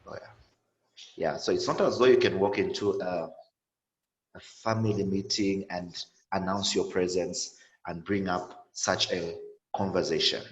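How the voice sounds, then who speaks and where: A young man in his twenties, from sub-Saharan Africa, tells his own story on an online panel. His voice sits very low, and he talks haltingly with many repeats and fillers. His call comes from an echoey room. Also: fairly even intonation. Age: 20s. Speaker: panel guest.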